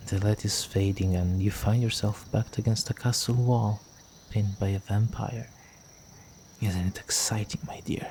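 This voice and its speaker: Man playing a slow, suave, low-voiced vampire noble. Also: vocal fry, mellow, slight background noise. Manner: courtly, teasing, slow formal endearments. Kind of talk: intimate romantic audio roleplay addressed to the listener.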